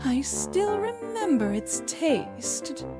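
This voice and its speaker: Woman doing little girl pony, naive n eager, takes it real slow, music behind. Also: very high-pitched, big pitch swings, full character voice. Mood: unsure, worried. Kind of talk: fan comic dub.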